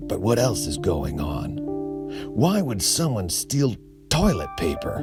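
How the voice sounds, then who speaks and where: A man voicing a serious, thoughtful male detective in a children's mystery, speaking in a measured, pondering way. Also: low voice, creaky, heavily acted.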